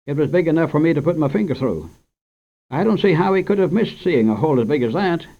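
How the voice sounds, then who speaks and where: A man's voice: on the high side, big pitch swings, fast, pitched above the performer's own voice. A man reading aloud and voicing a young farm boy who hesitates and begs when caught in a wrong. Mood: defensive. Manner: begging, tearful, hesitant. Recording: dull lo-fi old radio recording.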